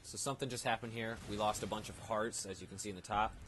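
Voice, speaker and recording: A man's voice: on the low side, steady tone, quick pace. A man giving casual, off-the-cuff game commentary in a rambling, unsure way, often correcting himself. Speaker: let's play gamer. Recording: noisy home game recording.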